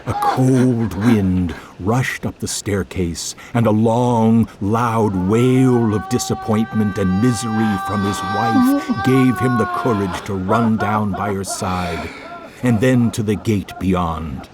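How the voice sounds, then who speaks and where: A man's voice: on the low side, expressive, slow. A man narrating a classic horror story in a dramatic, suspenseful, theatrical way. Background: music.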